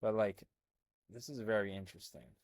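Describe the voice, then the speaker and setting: A young man from Albania, talking casually as a health coach while he reads through on-screen text. His voice is husky and sits a little low, and he rambles in an animated way with lots of fillers and restarts. The sound is dry and close, with no room around it. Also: moderate pace, dry recording. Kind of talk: casual commentary reading from a screen.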